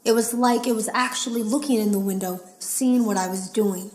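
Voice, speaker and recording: A woman's voice: a little high, steady tone, moderate pace, darkish tone, natural voice. A woman playing a worried, earnest game tester leaving secret warning logs in a video game, sounding uneasy. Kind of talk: video game audio log acting. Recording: echoey audio log.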